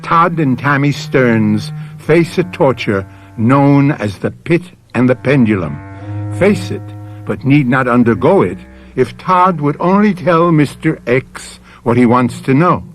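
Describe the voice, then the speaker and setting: A man hosting and narrating a radio mystery drama in a theatrical, deliberate way, addressing the listener directly. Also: mid-range voice, animated. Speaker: host and narrator. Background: music.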